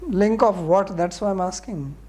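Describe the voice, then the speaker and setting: Indian-accented male spiritual teacher, dark mellow tone, direct and explanatory. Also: high voice, a little slow. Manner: blunt, questioning, explanatory. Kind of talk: scripture class question and answer.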